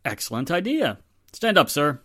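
An actor playing a firm, courteous police inspector with a formal, authoritative manner in 1940s radio drama style. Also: male voice, fairly high pitch, wildly swooping pitch, normal speed, pushed and bright, no echo, clean studio sound, full character voice. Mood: brisk, assured.

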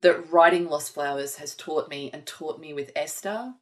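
A woman's voice: low voice, normal speed. A woman author talking thoughtfully and earnestly about her writing in a conversation. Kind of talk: reflective conversation.

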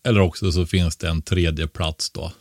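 A man's voice: sits low, fairly even intonation, no echo, studio quality. A man talking casually and giving explanatory advice as an expert podcast co-host, often tagging a question onto the end of his points.

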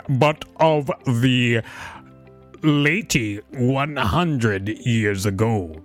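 A man co-hosting a podcast, mixing casual chat with reading an article aloud. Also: medium pitch. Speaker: podcast co-host.

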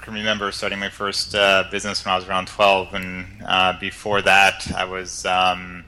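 A very deep, bright-toned male voice that barely moves in pitch. He speaks as a podcast guest, relaxed and halting, with lots of ums and restarts. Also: rough recording. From Netherlands and California. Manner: relaxed, hesitant, many fillers and restarts. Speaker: guest entrepreneur. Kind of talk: casual interview.

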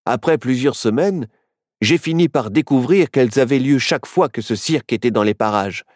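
A man's voice: mid-range voice, expressive, normal speed, darkish tone, no echo, clean studio sound, close to an everyday voice. A man voicing a serious, sensible journalist turned parrot, explaining with composure in a children's story.

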